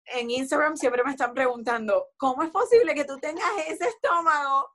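A woman, explaining her routine in a relaxed, conversational way full of fillers. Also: sits high, moderate pace. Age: 40s. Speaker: guest. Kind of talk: casual conversation about fitness.

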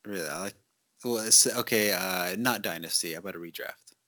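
A man's voice: deep voice, brisk, sharp tone, no echo, studio quality. A man co-hosting a podcast in casual chat, rambling and hedging with fillers. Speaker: podcast co-host.

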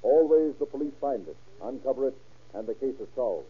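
A man narrating a scripted 1930s radio crime show in a formal, measured, dramatic manner with a husky voice. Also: medium pitch, expressive. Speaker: narrator and announcer. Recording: dull old radio recording.

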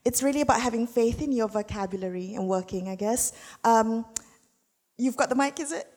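A woman moderating a live audience Q&A, briskly rephrasing the questions for the guest. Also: on the high side, darkish tone. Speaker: panel moderator. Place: festival hall stage. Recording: long hall reverb.

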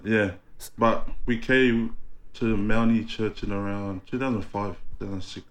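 A young man from New Zealand, chatting casually as a guest, relaxed and quick to laugh, using clipped slang. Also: lowish voice, rough recording. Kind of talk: casual chat.

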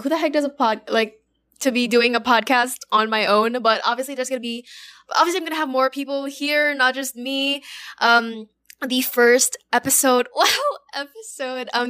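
A young woman with a slightly raspy voice hosting a solo podcast, rambling and self-deprecating with lots of fillers and giggles, recorded on a phone. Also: on the high side, sharp tone, dry recording. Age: young adult. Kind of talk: casual solo chat. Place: at home, alone. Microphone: phone wrapped in a sock.

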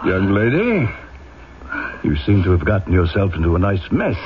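A domineering police inspector in a vintage radio drama, sardonic and clipped. The low voice moves with lively emphasis. The recording is dull and slightly noisy. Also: male voice, normal speed. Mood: stern, insinuating. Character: cold scheming police inspector, stern and interrogating. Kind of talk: old-time radio drama acting.

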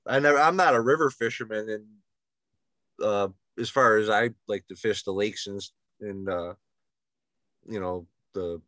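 A man's voice: fairly low pitch, a little slow, fairly bright tone, dry recording. A man hosting a casual interview, friendly and encouraging. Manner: friendly, encouraging, asks questions. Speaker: interview host.

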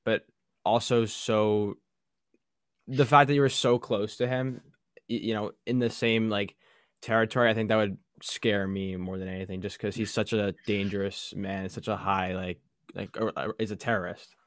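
A man's voice: fairly low pitch, fairly even intonation, moderate pace, dry recording, clean studio sound. A man hosting a podcast interview, asking earnest questions and correcting himself, saying "like" and "you know". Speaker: podcast host.

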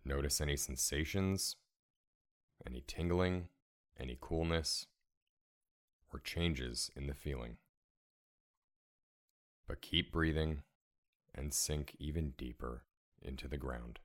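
A man leading a guided relaxation meditation in a calm, soothing, measured way, giving gentle step-by-step instructions. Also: very deep voice. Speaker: meditation guide, podcast host.

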